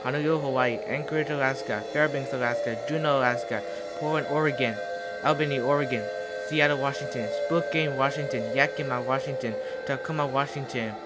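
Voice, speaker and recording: A man acts as an emergency alert announcer, reading a scripted bulletin in a formal, official way. His pitch sits in the middle for a male voice, and loud music plays over him throughout. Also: steady tone, fairly quick. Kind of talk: scripted mock emergency broadcast narration.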